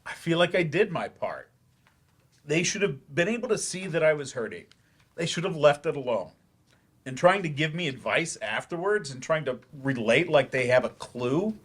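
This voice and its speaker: A man's voice: on the high side, animated, normal speed, fairly bright tone, slightly rough. A male actor in a staged play reading, playing a frustrated, defensive therapy patient who talks in a hesitant, grudging way. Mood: aggrieved, defensive. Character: defensive, frustrated man in therapy, once-promising writer turned teacher.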